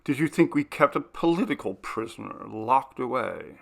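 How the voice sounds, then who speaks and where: A man reading aloud, voicing an amused, courteous young gentleman in a ghost story. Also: medium pitch, sing-song, moderate pace, vocal fry, heavily acted. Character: young gentleman host, Paul, amused and mysterious.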